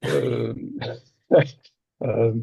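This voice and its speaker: An older man, a native Lorraine Platt speaker from Moselle, talking about books in a hesitant, animated way with many restarts over a video call. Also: medium pitch, very slow, vocal fry, husky. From Moselle-Est, France. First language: Lorraine Franconian (Platt). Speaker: author. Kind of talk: casual literary discussion. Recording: dull lo-fi video call audio.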